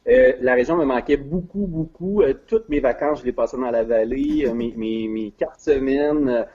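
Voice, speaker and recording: A man's voice: medium pitch, moderate pace, dark tone, highs cut off. A middle-aged man with a Quebec French accent, a guest in a casual interview, talking hesitantly with many fillers and restarts. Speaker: guest, regional business development adviser.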